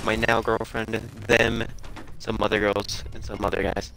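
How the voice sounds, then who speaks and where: A young man telling a casual story in a halting, rambling way full of self-corrections, as a game streamer chatting. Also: lowish voice, monotone, creaky, a bit bright. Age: young adult. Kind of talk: casual storytelling over gameplay.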